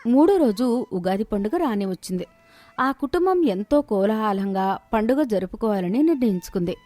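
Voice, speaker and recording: A woman's voice: mid-range voice, expressive, normal speed, mellow, dry recording. A woman narrating a scripted moral story as its storyteller.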